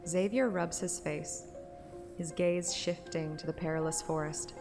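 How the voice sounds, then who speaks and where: Low-pitched woman narrator in long reverb. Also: fairly even intonation, a little slow, music in the background. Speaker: narrator reading a game story aloud. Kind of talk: audiobook narration with acted dialogue.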